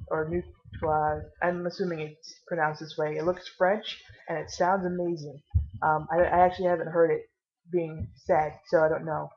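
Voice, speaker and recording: A tired, caffeinated woman vlogging casually with a low voice, rambling and swearing now and then. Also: highs cut off.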